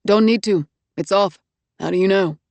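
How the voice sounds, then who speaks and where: A woman's voice: mid-range voice, normal speed, vocal fry, darkish tone, no room sound, close to an everyday voice. A woman narrating an audiobook, voicing a blunt male maintenance worker with a Spanish accent. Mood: matter-of-fact.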